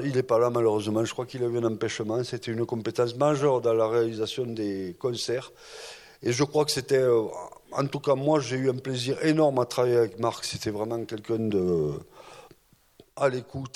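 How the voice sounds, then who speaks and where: A man who organized a festival, recalling its early years in a halting, hesitant way before a gathering. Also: mid-range voice, a little mellow. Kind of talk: recollections before an audience.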